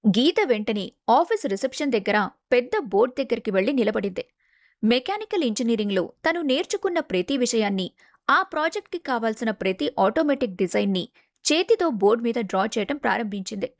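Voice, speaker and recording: Higher-voiced woman narrating a story. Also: expressive, brisk, no echo, clean studio sound. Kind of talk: scripted dramatic story narration.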